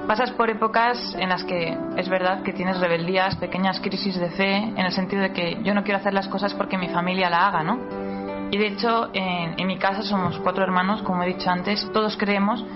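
A young woman from talks in a middle-pitched voice as a guest on a programme. She is informal and conversational, tagging her points with small questions. The recording is a bit dull, with music clearly heard beneath her. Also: fairly even intonation, fairly quick. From Spain. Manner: informal, often seeks agreement with tag questions. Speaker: interview guest, student. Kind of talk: personal testimony, conversational. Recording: dull lo-fi sound under loud music.

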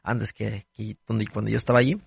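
Young man, low and husky, hesitant radio guest; muffled dry studio sound. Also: flat delivery, a little fast, no room sound. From United States. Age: young adult. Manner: hesitant, many restarts and fillers. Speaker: guest, board operator. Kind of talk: casual radio conversation.

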